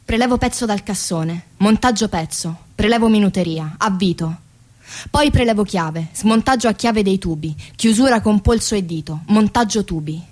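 A woman's voice: mid-range voice, moderate pace, darkish tone, lightly acted. Actress performing female factory worker, weary, radio theatre monologue, short clipped phrasing, first-person storytelling. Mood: tense, wry. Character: weary female car factory assembly-line worker telling her story.

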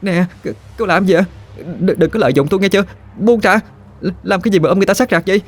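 A man doing a shrill frightened young woman. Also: fast. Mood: panicked. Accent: Southern Vietnamese. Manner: high shrill stammering protests.

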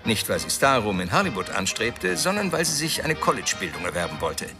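A man's voice: lowish voice, sing-song, a little fast, gravelly, music in the background. An actor playing a sensational male 1930s gossip columnist, declaiming crime reports in a showy, theatrical radio drama style. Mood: gleeful, showy.

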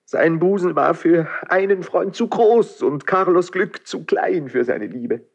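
An actor playing an anguished, impulsive young prince in a classical radio drama, fervent and declamatory, in broken phrases with a husky, breathy voice. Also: male voice, sits high, measured pace, dull audio, heavily acted. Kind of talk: classical radio stage acting.

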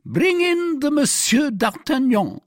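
A man voicing a pompous, exasperated police commissary in an audiobook, questioning in an imperious, drawn-out, indignant way. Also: sits high, wildly swooping pitch, husky, no room sound, clean studio sound, pitched above the performer's own voice, heavily acted. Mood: indignant, flustered. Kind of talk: dramatized audiobook reading.

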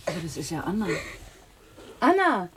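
A woman's voice: medium pitch, huge pitch swings, normal speed, noisy background. An actress playing a caring, practical country mother in a German radio drama, speaking in a homely, motherly way. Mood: tender, concerned.

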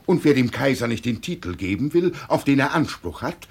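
An actor in a German radio play playing a deluded old peasant who proudly acts the emperor, pompous and halting. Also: male voice, mid-range voice, sing-song, gravelly, husky, exaggerated voice. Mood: proud, indignant.

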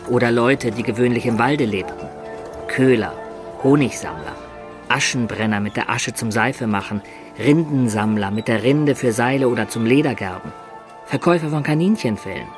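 Man playing storyteller adventurer in a radio drama, medium pitch, descriptive literary narration with music behind. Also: normal speed, close to an everyday voice. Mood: storytelling.

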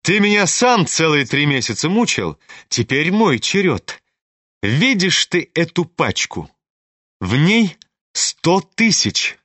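A man's voice: on the high side, very animated, slow, a bit bright, no room sound, heavily acted. A man reading an audiobook, voicing a proud, reckless young woman who taunts and commands. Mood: taunting, commanding. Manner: imperious, taunting.

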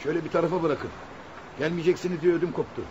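An actor playing a nervous, grumbling cinema usher and reluctant accomplice in a radio drama, anxious and scolding. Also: male voice, on the high side, steady tone, rapid-fire, muffled recording, close to an everyday voice. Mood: agitated, scolding. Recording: radio play with faint background noise.

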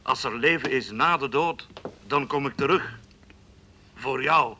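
An actor playing a bitter husband leaving a slow, threatening cassette message for his wife in a radio drama. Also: medium pitch, bright, forceful tone, a little hiss or hum. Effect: played back from a cassette recorder.

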